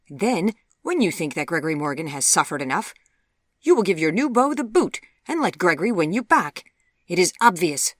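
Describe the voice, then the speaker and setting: A woman's voice: low voice, a bit bright, no room sound, clean studio sound, pitched above the performer's own voice. A woman voicing a stern, indignant middle-aged mother in a storybook, scolding her daughter in formal, emphatic words.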